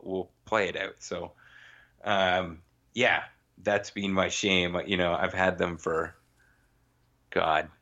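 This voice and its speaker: A man co-hosting a podcast, chatting casually in a halting way with many restarts, recorded on a slightly dull, lo-fi line. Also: low-pitched, vocal fry, fairly bright tone. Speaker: podcast co-host. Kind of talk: casual chat about a hobby.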